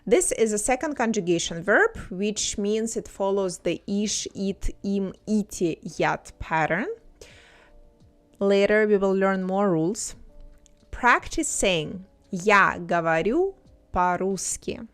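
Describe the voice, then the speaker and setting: A woman narrating a scripted language lesson, explaining grammar slowly and carefully. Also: mid-range voice, expressive. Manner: slow, carefully spelled-out explanations. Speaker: language teacher.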